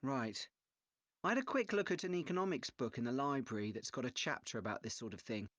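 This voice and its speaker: A man playing an easygoing, agreeable young student in a measured, understated scripted dialogue. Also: mid-range voice, lively intonation, no echo, lightly acted. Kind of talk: scripted exam listening dialogue.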